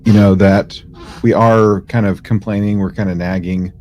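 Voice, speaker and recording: A man hosting a podcast in casual conversation, talking in a halting, conversational way with frequent fillers. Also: lowish voice, fairly even intonation, a little mellow. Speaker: podcast host.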